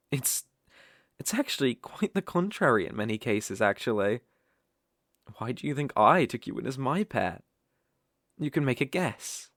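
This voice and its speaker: Slow, smug British dark elf played by a man. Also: mid-range voice, creaky, no echo, clean studio sound, exaggerated voice. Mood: soft, coaxing. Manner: teasing, condescending, coaxing, with soft chuckles. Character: possessive, smug, obsessive male dark elf master. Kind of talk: intimate ASMR roleplay.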